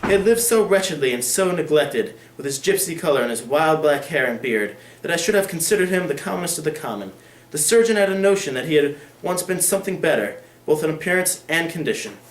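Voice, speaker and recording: A young man voicing a calm, secretive older lawyer who speaks in a measured way. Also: a little high, a little hiss or hum, close to an everyday voice. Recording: echoey room.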